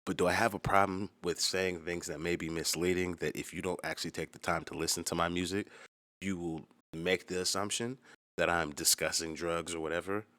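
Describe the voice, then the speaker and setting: A man's voice: low voice, steady tone, fairly quick, bright, forceful tone, dry recording. A man giving casual, profanity-laced commentary on his own music, telling stories and acting out quoted exchanges as a musician hosting his channel.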